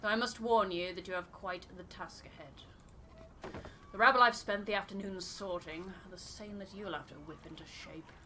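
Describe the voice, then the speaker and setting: A woman's voice: mid-range voice, normal speed, bright, forceful tone, slight background noise, close to an everyday voice. A woman voicing a stern, exacting expedition officer, speaking formally and with authority in a narrative game. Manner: formal, clipped, authoritative wording.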